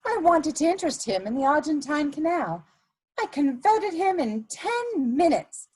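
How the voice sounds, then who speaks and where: A woman playing an elegant, ruthless blackmailer in a dramatic reading of a period play, speaking in a deliberate, imperious way. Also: high voice, sing-song, darkish tone, rough recording. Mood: smug, gracious. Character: elegant scheming female blackmailer, coolly ruthless society lady.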